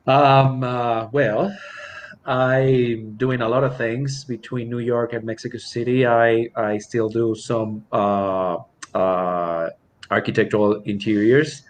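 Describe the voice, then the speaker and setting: A man's voice: medium pitch, steady tone, slow, a little hiss or hum. A man chatting as a guest on an online panel, hesitating often as he talks. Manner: hesitant, lots of fillers and restarts. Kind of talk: casual online panel chat.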